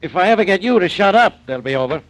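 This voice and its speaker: A barking, exasperated police inspector. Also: male voice, sits high, animated, quick pace. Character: irritable male police inspector, short-tempered. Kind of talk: 1940s radio acting.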